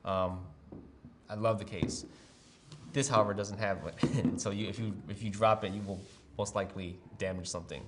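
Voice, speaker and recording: A man with a fairly low voice walks through a product in a casual, hands-on way. The recording has noticeable background noise, with clicks of things being handled. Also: fairly even intonation, moderate pace. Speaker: product demonstrator. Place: room with brick wall, at a table.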